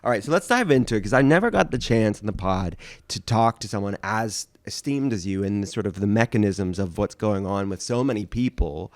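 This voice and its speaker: A man's voice: fairly low pitch, darkish tone, no room sound. Enthusiastic male host with a dry sound. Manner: enthusiastic, affirming. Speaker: podcast host. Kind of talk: conversational interview.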